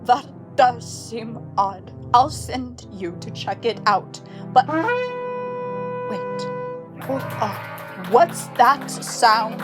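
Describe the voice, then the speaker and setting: A woman playing a haughty, scornful queen in a theatrical audio drama, with dramatic, deliberate delivery. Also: a little high, animated. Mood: suspicious. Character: imperious, scornful wicked queen clinging to her throne. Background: music under the voice.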